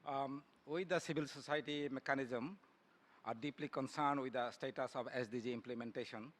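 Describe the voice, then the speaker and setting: A man with a Bangladeshi accent reads a prepared formal statement as a spokesperson at a conference plenary, moving haltingly from phrase to phrase. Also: medium pitch. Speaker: civil society spokesperson. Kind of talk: formal prepared speech read aloud at a plenary.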